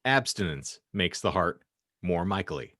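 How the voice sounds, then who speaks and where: Male host, fairly low pitch, close dry recording, wordy mock-formal delivery, wry casual banter. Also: animated, normal speed, bright, forceful tone, dry recording. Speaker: podcast host.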